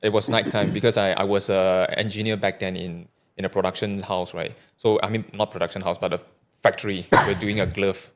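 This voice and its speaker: Malaysian man with a low voice. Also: level tone, brisk. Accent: Malaysian English. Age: 30s. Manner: candid, repeats words, often ends with "right?". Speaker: podcast guest. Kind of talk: casual interview conversation.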